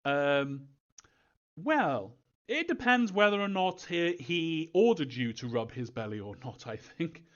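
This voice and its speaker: A man answering fan questions in an informal live Q&A, thinking aloud in a halting, rambling way, as a developer. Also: on the high side, big pitch swings, muffled recording.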